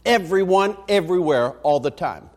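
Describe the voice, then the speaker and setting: A man's voice: high voice, animated, moderate pace. A man with a mixed American accent preaching as a missionary, telling chatty stories with many fillers and then preaching with emphasis. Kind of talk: folksy sermon.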